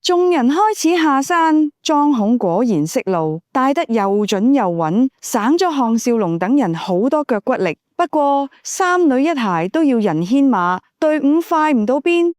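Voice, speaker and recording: A woman's voice: fairly high pitch, a little mellow, no room sound, clean studio sound. A woman reading scripted novel narration. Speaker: audiobook narrator.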